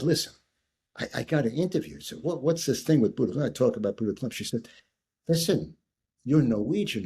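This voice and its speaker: An older man from the United States spins a story with wide swings in pitch, leaning into punchlines and slipping into quick voices for the people he quotes. His voice has a husky, slightly rough edge. He keeps the listener close by tagging his lines with "right?". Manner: animated raconteur, repeats "right?", acts out quoted voices. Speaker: guest. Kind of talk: storytelling in conversation.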